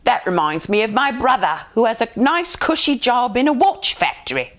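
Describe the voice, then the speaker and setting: A woman's voice: on the high side, big pitch swings, normal speed, a bit bright, pitched above the performer's own voice. A woman voicing a cheerful, joke-telling chap in a storybook, jovial and full of mirth. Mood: amused, joking.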